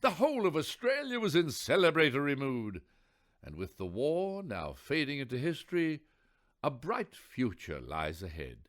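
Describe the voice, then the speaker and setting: A man narrating a radio play in a wry, theatrical storyteller's manner with deliberate emphasis. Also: on the high side, huge pitch swings, fairly bright tone.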